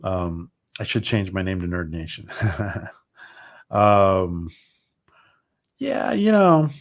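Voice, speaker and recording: A man hosting a casual livestream, rambling with many ums and restarts as his voice starts to go hoarse. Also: low-pitched, lively intonation, slow. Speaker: livestream host. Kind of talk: casual livestream chat reading viewer comments.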